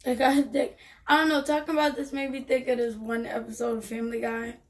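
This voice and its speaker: A woman's voice: on the high side, little pitch movement, fairly quick. A young woman co-hosting a casual live stream who is losing her voice and keeps trailing off with lots of "like.".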